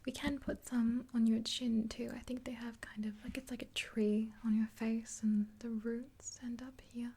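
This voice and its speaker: Young woman, ASMR roleplay, unhurried, soft dark tone, breathy whisper, even pitch, close mic. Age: 20s. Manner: gentle, unhurried. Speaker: ASMR creator playing a makeup artist. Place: small indoor room. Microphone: close mic, face near camera.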